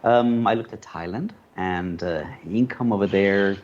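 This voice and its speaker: A middle-aged man from Colombia, a native Spanish speaker, telling his story in a relaxed, rambling way with many fillers. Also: fairly low pitch, measured pace. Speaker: panel guest, media commentator. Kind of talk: casual conversational storytelling. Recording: dull lo-fi call audio with faint noise.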